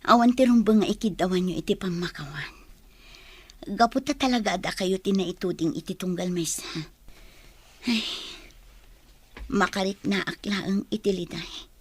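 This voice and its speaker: A woman's voice: mid-range voice, moderate pace, pauses often, husky, lightly acted. A woman with an Ilocano Filipino accent playing a wronged, sorrowful mother in an emotional radio drama. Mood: hurt, sorrowful. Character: wronged, sorrowful mother newly freed from prison.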